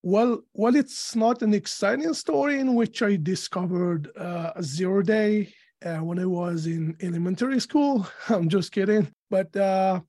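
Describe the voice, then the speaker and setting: A man from Algeria with an Algerian accent, answering interview questions in a measured, thoughtful way with frequent fillers. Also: high-pitched, a little mellow. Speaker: guest. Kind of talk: casual interview.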